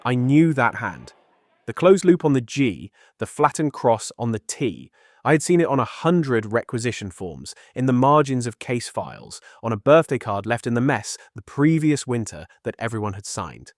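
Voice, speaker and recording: A man's voice: mid-range voice, sing-song, normal speed, dry recording, studio quality. An actor with a British accent playing a guarded 1930s Scotland Yard policeman in a detective drama, restrained and formal. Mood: grave, restrained. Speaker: character and narrator. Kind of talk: detective audio drama acting.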